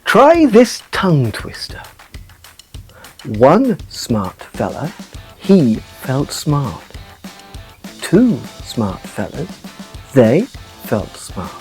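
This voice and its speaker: A woman reading scripted stories and segments for children as a show presenter, with expressive, deliberate delivery. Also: extremely low pitch, soft background music.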